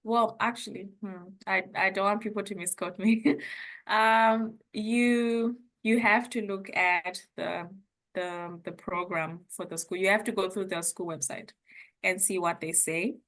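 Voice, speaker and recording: A woman's voice: medium pitch, steady tone, unhurried, fairly bright tone. A young woman from Zimbabwe, a former international student, chatting casually and hedging her points with frequent laughs.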